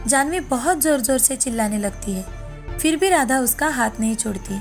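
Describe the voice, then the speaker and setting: Woman story narrator, highish voice, scripted fairy tale reading with bg music. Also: expressive, moderate pace. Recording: background music under the voice.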